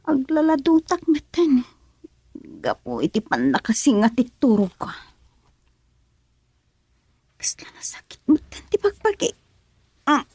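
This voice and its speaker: Vain doubting wife, middle-aged, husky, very slow, dry sound, radio drama. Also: female voice, sits high, dark tone, no room sound, heavily acted. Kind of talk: Ilocano radio drama acting.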